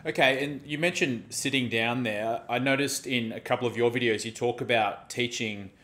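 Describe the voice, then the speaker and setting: A man's voice: medium pitch, steady tone, moderate pace, fairly bright tone, a little hiss or hum. A man in his thirties hosting an interview over a video call, rephrasing his questions as he goes. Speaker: podcast host. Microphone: headphones on a video call.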